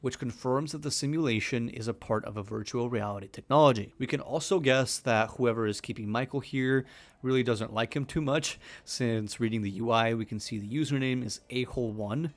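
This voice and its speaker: A man narrating a scripted explainer video as its presenter. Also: mid-range voice.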